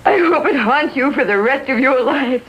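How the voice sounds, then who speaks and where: A woman's voice: high voice, lively intonation, moderate pace, breathy edge. A woman playing a bitter, grief-stricken American mother in a 1950s TV drama, speaking in anguished, accusing tones. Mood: bitter grieving. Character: bitter, grief-stricken mother blaming police for son's death. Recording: dull vintage TV soundtrack.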